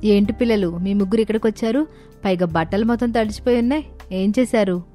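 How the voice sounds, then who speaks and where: Woman voicing indignant, emphatic young aunt for animated moral tale, medium female pitch, faint music. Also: fast, lightly acted. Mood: stern, angry. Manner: stern, emphatic, scolding. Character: proud, indignant young aunt, quick to soften.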